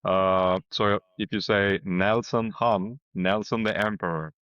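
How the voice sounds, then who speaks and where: A fairly low-voiced man with a Turkish accent, speaking English as his second language in relaxed podcast chat. He tells stories with easy laughter and plain wording. The sound is dry and slightly dull, as if it came through a remote call. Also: measured pace, smooth, no room sound. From Turkey. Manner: lively storyteller, laughs readily, plain wording. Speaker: podcast guest, crypto strategist. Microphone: remote video call.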